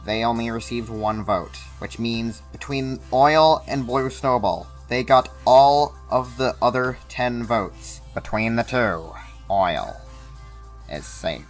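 A man voicing a bombastic, irritable contest announcer in an amateur animated web show, declaiming and bickering. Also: medium pitch, slow, soft background music, natural voice. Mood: announcing.

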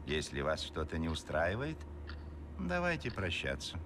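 An actor playing a formal, secretive agent of a shadowy firm in a noir cartoon, officious and theatrical. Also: male voice, low voice, huge pitch swings, a little slow, background noise, exaggerated voice. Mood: cool, formal. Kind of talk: dubbed noir animation acting. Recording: music under the voice.

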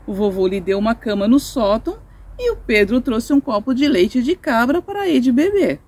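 A woman's voice: on the high side, sing-song, moderate pace, a little mellow. A middle-aged woman with a Brazilian Portuguese accent reading a children's story expressively and in simple, explanatory terms. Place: outdoors in a sunny garden patio, seated.

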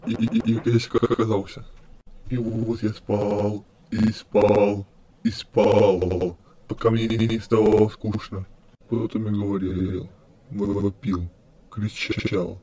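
A man's voice: fairly low pitch, mellow. A man voicing a deranged skeleton destroyer in an amateur comic dub, cheerfully menacing, stuttering and repeating words like a glitch. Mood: brooding. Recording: clear background noise.

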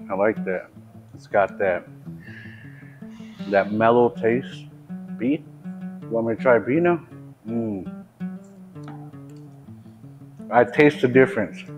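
Low male voice, jokey taster, thin tinny sound. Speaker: coworker taste tester. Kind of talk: casual tasting comments. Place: brewery taproom. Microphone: lapel mic.

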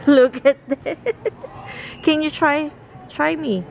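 A woman's voice: high voice, slow, vocal fry. A young woman chatting casually on a handheld camera vlog, giggling and exclaiming often. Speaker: vlogger. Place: stores, restaurant and mall. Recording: loud background noise.

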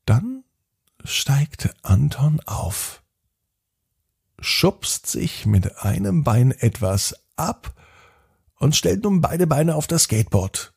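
Bedtime storyteller, male, husky and mellow, unhurried and gentle. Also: fairly high pitch. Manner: slow, soothing, softly spoken.

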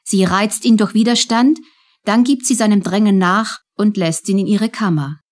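Medium-pitched woman narrator. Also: moderate pace, a little mellow. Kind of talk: scripted narration of a radio play.

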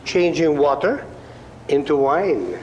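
A pushy, eager deal-maker. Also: male voice, sing-song, slow, echoey room, big-room sound, muffled recording, a crowd in the background. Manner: eager, salesy, pitch swinging up. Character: eager, pushy deal-making middle-aged friend. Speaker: business go-between.

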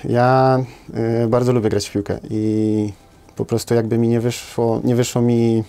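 A man's voice: mid-range voice, flat delivery, a little slow, gravelly, dark tone, smooth. A man in his thirties, a footballer guest, answering casually and hesitantly, with many fillers and tag questions, in a small studio. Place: small studio with brick wall.

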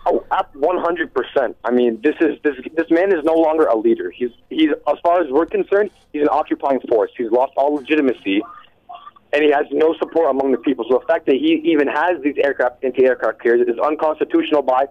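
A young man calling in to a talk radio show, rushing through his points with frequent restarts. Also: a little high, no echo. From United States. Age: young adult. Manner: rapid, restarts mid-sentence. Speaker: caller. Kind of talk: radio call-in conversation. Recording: tinny phone line.

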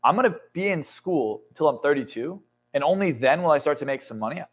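Young man, motivational speaker, emphatic and intense, swears a lot, slightly high voice. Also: fairly quick, slightly rough. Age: 20s. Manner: intense, emphatic, swears often, builds to excited peaks. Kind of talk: motivational talk in a podcast interview. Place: indoor studio lounge set.

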